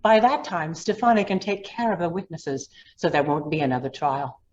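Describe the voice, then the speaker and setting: A woman's voice: deep voice, animated, moderate pace, darkish tone, highs cut off. A woman playing a ruthless, commanding lawyer in an old-time radio drama, hard and threatening in manner. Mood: coolly menacing. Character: ruthless, commanding female lawyer blackmailing a judge.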